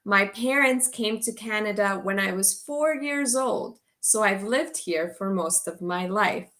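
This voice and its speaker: A woman teacher from Guatemala, giving a slow, clear orientation to an online English as a course. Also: medium pitch, animated, fairly bright tone. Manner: slow, deliberate delivery for language learners.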